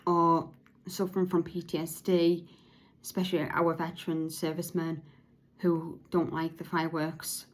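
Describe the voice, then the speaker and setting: Low-voiced woman talking earnestly. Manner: says her voice is sometimes monotone; halting, with frequent restarts and repeated words. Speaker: podcast host.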